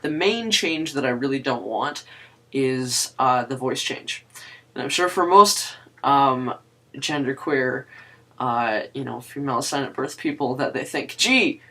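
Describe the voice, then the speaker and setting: A young woman with a low, androgynous voice, hosting a casual video chat and talking to camera with many pauses, ums and restarts. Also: pushed and bright. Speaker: guest host of a video chat series.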